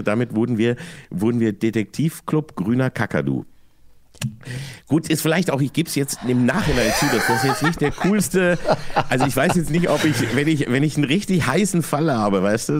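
A man telling an anecdote casually in a halting, rambling, self-mocking way. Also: medium pitch, animated, a little hiss or hum. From Germany.

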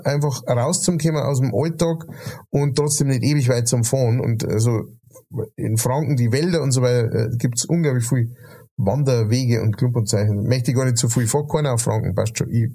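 A man with a Bavarian accent talks loosely on a podcast, his voice mellow and a little dark. He wanders through his points with plenty of hesitations and self-corrections. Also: mid-range voice, brisk, husky. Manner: rambling, many fillers and restarts. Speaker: podcast co-host. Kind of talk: casual chat.

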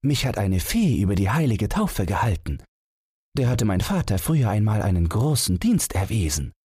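A man's voice: on the low side, sing-song, moderate pace, warm tone, breathy edge, no echo, clean studio sound, voice pushed up, exaggerated voice. A man voicing a cheerful, playful and kind young princess with a teasing, chatty manner in a fairy tale audiobook. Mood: cheerful, proud.

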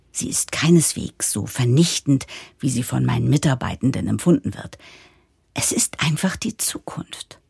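A wry, hopeful woman narrates her own radio play story. Her voice is low and very husky. She confides with lively swings and dramatic pauses, slipping into whispery asides. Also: dry recording, exaggerated voice. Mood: confiding, suspenseful. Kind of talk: scripted first-person radio play narration.

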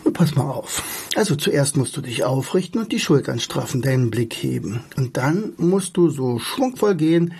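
A man's voice: medium pitch, animated, normal speed, creaky, hardly any pauses, a little mellow, a little hiss or hum. A man voicing a wise, encouraging butterfly that gives friendly advice in a storybook reading. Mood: encouraging, brisk.

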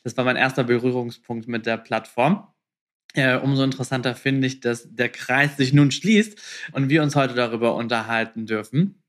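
A man hosts an interview podcast in a conversational style. His voice has a medium pitch for a man, and he talks informally as he leads into his questions. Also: moderate pace.

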